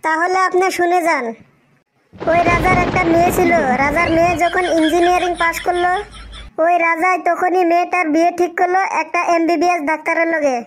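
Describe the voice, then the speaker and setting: A woman's voice: very high voice, level tone, a little fast, crowd noise. A woman voicing a polite but cheeky, stubbornly earnest schoolboy in a comedy cartoon. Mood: cheeky insistence.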